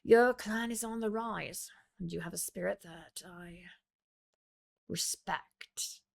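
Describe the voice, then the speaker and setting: Audiobook role: dangerous, cunning male fighter played by a woman narrator, slow, menacing, creaky low-key delivery. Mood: confident courting. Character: predatory, cunning male guild champion, slyly courting.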